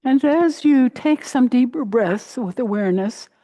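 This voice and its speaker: A woman teaching a guided meditation in a gentle, unhurried way, pausing between short phrases. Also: high-pitched, animated, dry recording, low-quality recording. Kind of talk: guided meditation, body scan.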